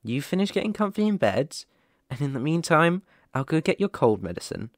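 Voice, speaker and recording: Guy playing sweet teasing boyfriend asmr, a bit high for a man, close dry mic, gentle and affectionate. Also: sing-song, fairly quick, no echo. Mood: tender, upbeat. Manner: gentle, soothing, affectionate, chuckling often. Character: caring, playful young boyfriend tending his sick partner. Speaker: ASMR roleplay performer. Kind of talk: ASMR boyfriend roleplay, intimate. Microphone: close microphone, dry.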